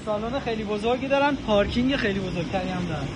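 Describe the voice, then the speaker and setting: A man's voice: high voice, very noisy. A man in his thirties from Iran, chatting casually as a food vlogger touring a large restaurant. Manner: chatty, upbeat tour-guide narration.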